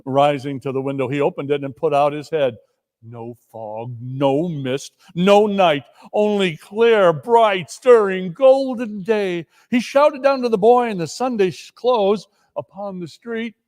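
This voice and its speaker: A man's voice: high-pitched, measured pace, darkish tone, long reverb tail. A man reading a classic story aloud as dramatic narration, with theatrical, emphatic phrasing. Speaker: story reader and performer.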